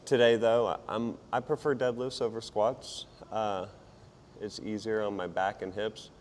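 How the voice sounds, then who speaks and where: A man with a hoarse, partly lost voice talks casually and self-deprecatingly as a fitness vlogger while he exercises. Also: lowish voice, flat delivery, measured pace. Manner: informal, self-deprecating, rambling while exerting himself. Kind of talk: casual workout vlog chat while exercising.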